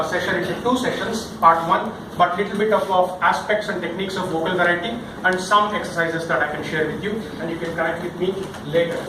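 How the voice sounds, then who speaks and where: A man with a high voice leads a public-speaking workshop. His delivery is emphatic and theatrical, pitched to a group and often questioning. He is heard from a distance in a very reverberant room with clear background noise. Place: indoor session room with audience.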